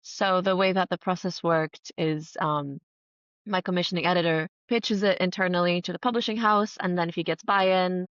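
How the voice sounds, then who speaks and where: A woman from Greece with a lowish voice, chatting as a podcast guest. She is earnest and enthusiastic, leaning on emphatic words in a casual, conversational flow. The sound is close and dry but slightly dull. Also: fairly even intonation, normal speed, no room sound. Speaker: podcast guest, writer and entrepreneur. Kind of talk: casual conversational interview answers.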